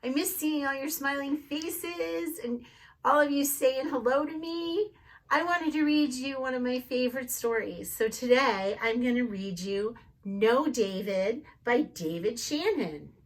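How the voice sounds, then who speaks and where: A young woman, a speech therapist, reading a picture book aloud to preschool children in warm, expressive teacher talk. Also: high voice, a little slow.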